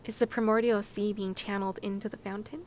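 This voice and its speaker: A woman's voice: mid-range voice, flat delivery, fast, slight background noise. A woman livestreaming a video game, casually commenting, reading aloud and musing as she thinks things through. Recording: game music behind the voice. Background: video game music.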